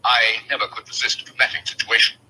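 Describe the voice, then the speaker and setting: An actor playing a shrewd, courteous detective with dry mischief in a 1940s-style radio drama, speaking formally and theatrically. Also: male voice, medium pitch, flat delivery, fast, sharp tone, breathy edge, exaggerated voice, poor audio. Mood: wry, courteous. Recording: old radio recording with faint noise.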